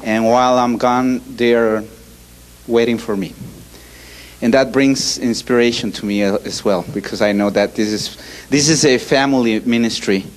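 A man in his thirties from Ecuador speaking halting English with a Spanish accent, addressing an audience on a handheld mic in a hall. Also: mid-range voice, fairly even intonation. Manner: halting non-native English, many restarts, gesturing. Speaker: ministry speaker. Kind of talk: animated talk to an audience. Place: hall indoors.

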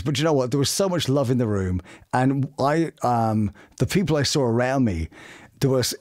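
A middle-aged British man telling anecdotes expressively as a voice actor in a casual interview. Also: mid-range voice. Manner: expressive, dramatic storytelling. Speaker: voice actor guest. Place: small home studio, indoors.

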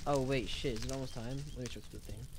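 A man's voice: medium pitch, fairly even intonation, fast talker, background noise. A man chatting casually over voice chat while playing a game, running the server's story events. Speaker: server lore organizer.

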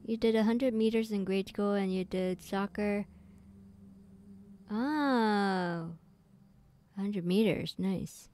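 A woman's voice: mid-range voice, slow pace, long pauses, a little mellow, a little hiss or hum. A woman streaming a game live, chatting casually with her viewers in a rambling, giggly way with many fillers.

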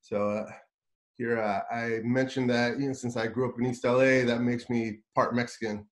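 Middle-aged guest speaker over a video call, casual talk with fillers and repeated words. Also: male voice, medium pitch, flat delivery, moderate pace. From United States. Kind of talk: webinar talk. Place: home office with bookshelves.

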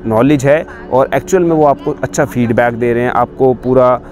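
A man's voice: medium pitch, moderate pace, a little mellow, soft background music. Man coaching on an outdoor cricket ground. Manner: explains step by step while showing a ball grip. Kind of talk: spoken coaching tutorial. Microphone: lapel mic on camera facing him.

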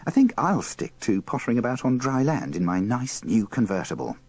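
A man's voice: medium pitch, big pitch swings, brisk, darkish tone, slightly rough. A man delivering scripted, humorous narration as the presenter of a compilation. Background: music.

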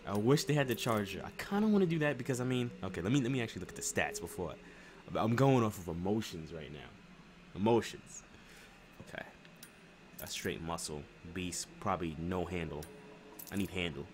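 A young American man with a deep voice chats casually through a video game. He rambles in slang, thinking out loud, over clear background noise and car game sounds. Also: animated, fairly quick. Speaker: gaming streamer. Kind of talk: casual let's play commentary. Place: room at home. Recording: clearly noisy home webcam stream.

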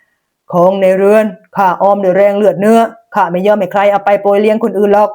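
A woman's voice: mid-range voice, level tone, fairly quick, mellow, close to an everyday voice. A woman voicing a stingy, stubborn old village mother-in-law in a folk tale, stern and unyielding. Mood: hard, defiant.

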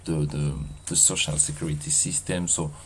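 A man in his thirties with a strong French accent, vlogging outdoors in a halting way with many fillers and restarts. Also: a bass voice, fairly even intonation, slight background noise. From France. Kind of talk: casual walking vlog. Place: outdoors in a seaside mountain town.

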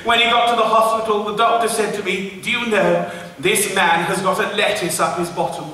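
A man's voice: sits high, steady tone, brisk, sharp tone, recorded from a distance, a little hiss or hum. A camp old pantomime washerwoman. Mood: camp, bawdy. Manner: camp, bawdy, teasing the audience, bursts into song. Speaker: pantomime dame. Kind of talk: live pantomime stage comedy. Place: large theatre stage. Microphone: far stage recording. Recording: echoey hall recorded from afar.

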